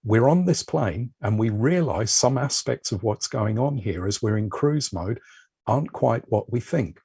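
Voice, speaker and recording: A man giving a measured, explanatory talk as a panel speaker on a video call. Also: mid-range voice, animated, creaky, warm tone, slightly rough, no echo. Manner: measured, explanatory, uses metaphors.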